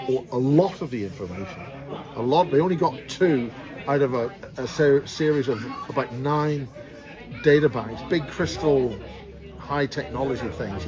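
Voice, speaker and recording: A man's voice: mid-range voice, poor audio. A man with a Belfast accent, talking in an emphatic, rambling way in a casual conversation outdoors. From Northern Ireland. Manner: rambling, emphatic, jokey teasing. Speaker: speaker on secret space programs. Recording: loud crowd noise behind.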